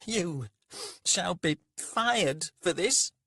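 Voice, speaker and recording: A man's voice: fairly high pitch, very animated, unhurried, dry recording, studio quality, voice pushed up, exaggerated voice. A man voicing a plump, pompous merchant, cowardly and whiny, with a wavery, nasal, almost feminine voice. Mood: outraged.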